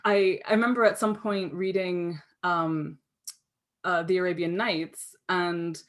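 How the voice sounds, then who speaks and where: A Canadian woman speaks in a somewhat low voice, answering interview questions. She rambles, restarts her sentences and fills the pauses with ums. She sounds tired from too little sleep. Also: steady tone. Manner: rambling, self-interrupting, many fillers and restarts. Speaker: guest author.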